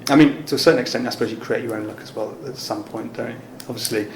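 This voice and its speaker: Male interviewer, mid pitch, monotone, informal and tentative; roomy recording with some noise. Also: fast talker, gravelly. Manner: tentative, loosely phrased questions, agreeable. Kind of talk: casual conversational interview. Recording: echoey room with background noise.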